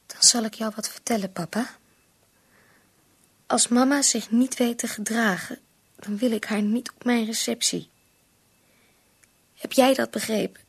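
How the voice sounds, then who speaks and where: A high, husky, worried young daughter. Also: female voice, steady tone, unhurried, long pauses, heavily acted. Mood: hurt, firm. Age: young adult. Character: worried, insistent young bride-to-be, a daughter pleading. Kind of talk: radio play acting.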